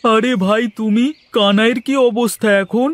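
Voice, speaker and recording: A man's voice: sits high, fairly even intonation, normal speed, a bit bright. A man voicing a timid, honest village sweet seller, polite and anxious, in a cartoon. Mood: concerned. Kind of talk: cartoon moral-story dialogue.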